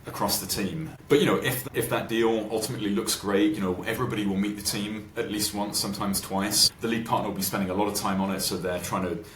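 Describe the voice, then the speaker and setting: A man with a low voice speaks in a roomy, echoing space with clear noise behind him. He explains things informally, like a podcast guest answering questions. His phrasing is colloquial and conversational. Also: fairly even intonation, quick pace, hardly any pauses, fairly bright tone. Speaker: venture capital investor, podcast guest.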